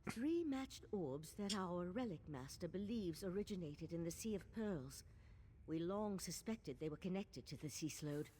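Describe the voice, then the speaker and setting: An actress playing an earnest, resolute female mage in a fantasy game, explaining things in a measured, serious way. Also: lowish voice, expressive, warm tone, a little hiss or hum. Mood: earnest, urgent. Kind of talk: fantasy game dialogue acting.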